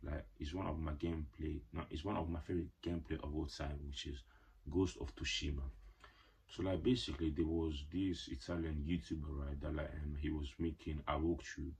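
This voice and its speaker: A man's voice: very deep voice, level tone, brisk, darkish tone, breathy edge. A young man telling a casual personal story on his channel, rambling with lots of filler words and playful slangy asides. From Ghanaian parents. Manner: rambling, heavy "like" and "you know" fillers. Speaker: vlogger.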